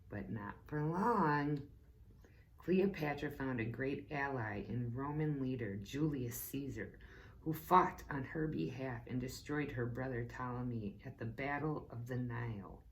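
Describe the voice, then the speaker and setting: A woman reading a book aloud to children as a teacher, slow and deliberate, with playful asides to her listeners. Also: rumbling low, poor audio. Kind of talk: read-aloud book lesson.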